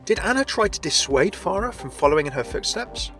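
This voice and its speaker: A man's voice: high voice, big pitch swings, a little fast, a bit bright, faint music behind. A man hosting a scripted game lore video, chatty and often addressing his viewers directly.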